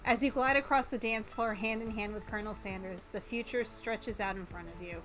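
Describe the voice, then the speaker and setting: A woman streamer reading a game aloud and commenting on it in a playful, animated, exclamatory way. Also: medium pitch, a little fast, music in the background, low-quality recording. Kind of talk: live game commentary and dramatic read-aloud.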